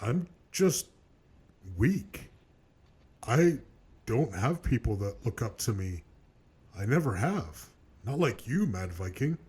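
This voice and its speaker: A man playing a foul-mouthed, self-loathing loser in a web cartoon, talking in a bitter, halting way. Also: medium pitch, sing-song, mellow, slightly rough, heavily acted. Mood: dejected. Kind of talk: animated web cartoon dialogue.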